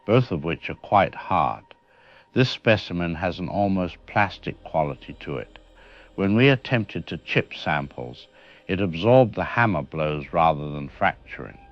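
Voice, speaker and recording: A man's voice: low voice, lively intonation, measured pace. A man playing a middle-aged science professor in an audio drama interview, careful, academic and reassuring, on a muffled recording. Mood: measured, reassuring. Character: measured, reassuring male science professor, experienced expert.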